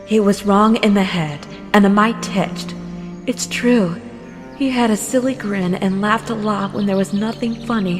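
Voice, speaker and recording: A woman acting as hostess and narrator of a scripted story, telling it in a dramatic storytelling style. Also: mid-range voice. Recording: long reverb, music behind.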